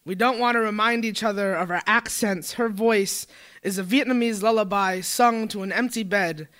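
High, bright-voiced young male poet. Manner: numbered, list-like recitation, earnest and measured. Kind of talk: spoken word poetry performance.

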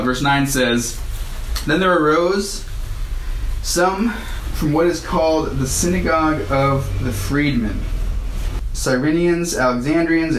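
A man's voice: medium pitch, slight background noise. A man teaching a Bible lesson as a pastor, explaining verses in a conversational way and lifting his voice when he reads scripture aloud. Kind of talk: sermon teaching through scripture. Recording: echoey room with faint ambience.

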